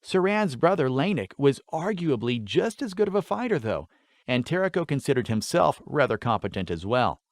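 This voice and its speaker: A man narrating a fantasy story in an expressive, scripted audiobook style. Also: medium pitch, normal speed, no echo, studio quality.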